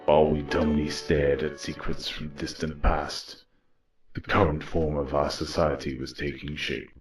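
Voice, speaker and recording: A man's voice: extremely low pitch, flat delivery, a little slow, faint music behind. A man playing a weary robot war leader, narrating an audio drama in a grave, solemn manner. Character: weary male robot war leader recounting his downfall.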